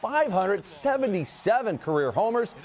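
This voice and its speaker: High-pitched American man anchoring sports highlights. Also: sing-song, normal speed, darkish tone. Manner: punchy, playful delivery. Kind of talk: lively scripted sportscast narration over highlights.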